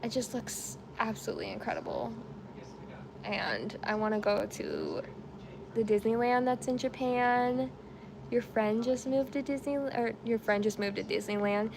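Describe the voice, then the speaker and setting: Chatty woman with a gravelly voice. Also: a little high, animated, a little slow, background noise. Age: around 30. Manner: chatty, rambling, laughs easily. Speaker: crochet livestream host. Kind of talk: casual chat while crocheting, reading comments.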